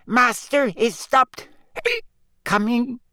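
A tiny female house-elf, deep in her cups, squeaks and coughs her way through every line, voiced by a man reading aloud. Her voice sits far above a normal speaking pitch and crawls along at a sluggish pace. The sound is close and dry, with no room around it. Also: very slow, pushed and bright, no echo, full character voice. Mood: tearful. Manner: squeaky, hiccuping and coughing, slurred. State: drunk. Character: drunken tearful small female house-elf.

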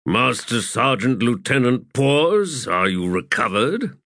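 Guy reading as a gruff strict officer, rough voice, slow and dry, no room sound. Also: mid-range voice, lively intonation, a bit bright, no room sound, pitched above the performer's own voice. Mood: stern. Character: stern strict older male army officer.

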